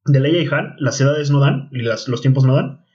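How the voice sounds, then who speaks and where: A young man with a Mexican Spanish accent, co-hosting a podcast in casual chat, animated and slangy, often restarting his sentences. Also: mid-range voice, normal speed. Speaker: podcast co-host. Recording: dull lo-fi podcast audio.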